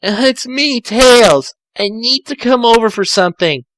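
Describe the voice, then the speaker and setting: A man's voice: very high voice, expressive, measured pace, fairly bright tone, no room sound, voice pushed up, full character voice, poor audio. A man voicing a young cartoon fox who has turned female, anxious and sheepish, with a hesitant, awkward delivery.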